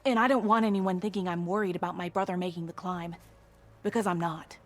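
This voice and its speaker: A woman's voice: on the low side, fairly even intonation, fairly quick, close to an everyday voice. An actress playing a proud young female soldier in a video game, blunt and guarded in manner. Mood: guarded worry. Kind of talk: video game character acting.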